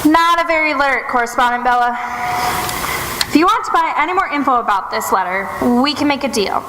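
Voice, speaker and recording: An actress playing a composed, coolly probing lady detective, measured and knowing, in an old-time radio drama. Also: high-pitched, lightly acted. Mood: dry, shrewd. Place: live stage performance in a theatre hall. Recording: echoey stage hall with noise.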